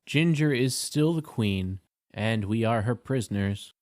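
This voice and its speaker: A man voicing a kindly, steady tin woodman in a storybook reading. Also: on the low side, unhurried, no room sound, clean studio sound.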